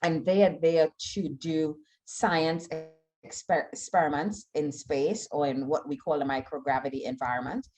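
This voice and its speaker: A middle-aged woman from Trinidad and Tobago, an aerospace engineer giving an online talk in an earnest, measured way with frequent fillers. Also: sits low, steady tone, darkish tone, rough recording. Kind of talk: online talk answering audience questions.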